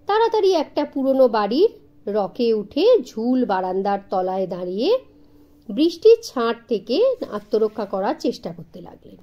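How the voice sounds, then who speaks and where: A woman narrating a children's story in an expressive, storybook style. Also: a little high, normal speed.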